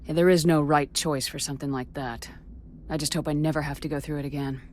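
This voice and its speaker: A woman playing a resolute, caring military commander in a video game, firm, measured and direct. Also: deep voice, fairly even intonation, a little mellow, natural voice. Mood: firm, determined. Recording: faint background ambience noise.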